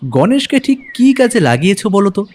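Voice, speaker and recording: A man playing a detective's curious male companion in an audio drama, asking questions in an eager, animated way. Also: sits high, brisk, voice pushed up. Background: background music.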